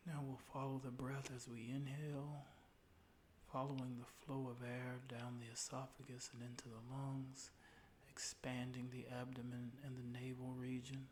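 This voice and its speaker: A man leading a guided meditation in a calm, soothing, unhurried way, with soft, breathy phrase endings. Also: mid-range voice, slight background noise.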